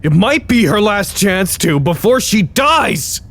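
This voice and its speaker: A man's voice: high-pitched, very animated, takes it slowly, gravelly, fairly bright tone, full character voice. A man playing a frantic, rambling facility worker in an audio drama, searching and talking theatrically to himself. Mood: desperate, worried. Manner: theatrical, rambling, talks to imagined listeners. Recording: echoey room with faint noise.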